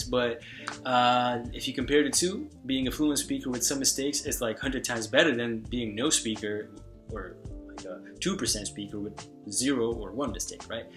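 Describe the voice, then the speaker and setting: Rambling Hungarian-born man giving advice. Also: medium pitch. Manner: relaxed, rambling, many restarts and fillers. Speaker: language learning vlogger. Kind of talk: casual spoken advice.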